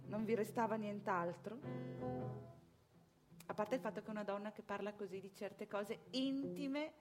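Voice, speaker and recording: A woman's voice: medium pitch, moderate pace, music in the background, close to an everyday voice. An actress playing a proud, anxious, self-righteous married mother in an opinionated theatrical monologue full of rhetorical questions. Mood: indignant, scornful.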